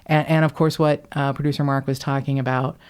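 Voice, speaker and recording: A woman from Kentucky with a deep voice, hosting a podcast in casual chat, wry and quick to swear or laugh. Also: level tone, clean tone. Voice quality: deep voice, often taken for a man on the phone. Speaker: podcast host.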